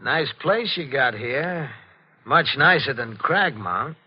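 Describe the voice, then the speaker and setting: An actor playing a shady, menacing blackmailer in 1940s radio style, with a folksy, insinuating way of talking. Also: male voice, medium pitch, animated, takes it slowly, slightly rough. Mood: sly, casual. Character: shady, menacing male blackmailer, ex-asylum worker, sly and casual. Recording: muffled, noisy 1940s radio recording.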